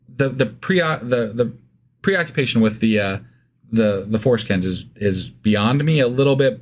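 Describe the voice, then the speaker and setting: A man co-hosting a casual Bible discussion podcast, talking in a rambling, halting, irreverent way with casual swearing. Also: lowish voice. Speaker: podcast co-host.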